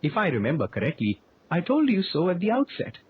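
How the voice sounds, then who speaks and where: A man voicing a dry, unflappable male artist who answers calmly and wryly. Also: high-pitched, brisk, husky, pitched above the performer's own voice, full character voice. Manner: calm, wry and deadpan. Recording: tinny phone-like recording.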